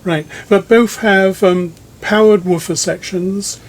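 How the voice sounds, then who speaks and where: A man with a noticeably high-pitched voice leads a technical interview. He talks conversationally, choosing his words thoughtfully and pausing mid-phrase as he builds each question. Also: fairly even intonation. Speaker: magazine editor interviewing a speaker designer. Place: listening room in a home.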